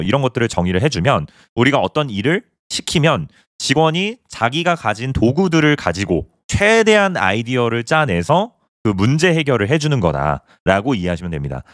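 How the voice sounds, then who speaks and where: A man's voice: mid-range voice, no room sound, studio quality. A man teaching a screen-recorded coding tutorial, explaining step by step as he points things out on screen. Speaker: programming instructor.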